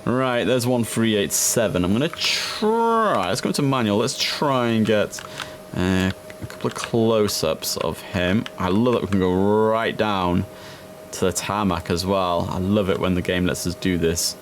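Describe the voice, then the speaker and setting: A young man chatting casually through a simulator game, relaxed and filling pauses with ums and uhs. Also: on the low side, a little hiss or hum. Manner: chatty, relaxed, with frequent fillers. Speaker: gaming streamer. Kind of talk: casual gameplay commentary.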